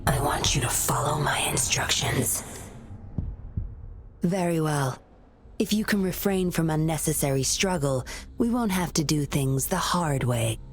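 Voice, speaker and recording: A woman's voice: sits low, animated, unhurried, soft background music. An actress voicing a composed, coolly threatening woman undercover agent in a video game, speaking in controlled, clipped commands. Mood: hushed, controlling.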